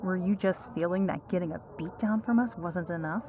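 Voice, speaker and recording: Casting: actress as weary, sarcastic female hero for audio drama, slightly low voice, faint background noise. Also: fairly quick, narrow, tinny audio, close to an everyday voice. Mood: angry, sarcastic. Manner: dry, fed-up sarcasm. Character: exasperated, sarcastic female superhero as injured hospital patient. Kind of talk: ASMR roleplay audio drama.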